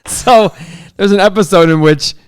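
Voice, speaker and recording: A man's voice: sits high, clear, resonant tone. A man born in Iraq co-hosting a podcast, joking and teasing in casual banter and laughing often. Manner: joking, quick to laugh, playfully skeptical. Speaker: podcast co-host.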